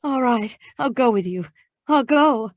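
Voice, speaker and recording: Haughty, guarded noir young lady, high voice, formal diction, close dry recording. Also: expressive, measured pace, no echo, soft background music. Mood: anxious, yielding. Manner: indignant, formal phrasing. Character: tall young woman, haughty, nervous and guarded. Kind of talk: noir audio drama acting.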